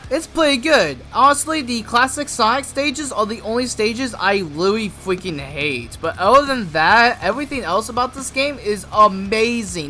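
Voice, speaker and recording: A man's voice: sits high, pushed and bright. A man giving a casual, enthusiastic and emphatic game review. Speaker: gaming video creator.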